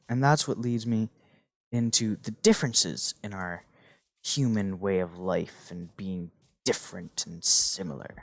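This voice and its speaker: A teenage boy chats on in a loose, rambling way, tossing in goofy asides. His pitch swings widely up and down as he talks. Faint music plays softly underneath. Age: teens. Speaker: gaming channel host. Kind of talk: casual rambling monologue.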